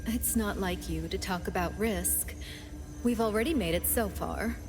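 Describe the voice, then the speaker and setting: A woman playing a shrewd, self-assured strategist in a video game, persuading in a confident, deliberate way. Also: mid-range voice, close to an everyday voice. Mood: calm, persuasive. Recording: prominent music behind the voice.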